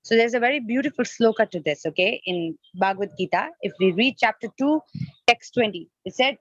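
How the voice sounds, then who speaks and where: A woman who grew up speaking Nepali teaches a devotional class in a somewhat high voice. She explains by repeating and rephrasing, and puts questions to her listeners. The sound is dry and a little muffled, like an online call. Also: animated, a little fast, dry recording. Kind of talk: interactive devotional lecture. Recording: dull lo-fi online call audio.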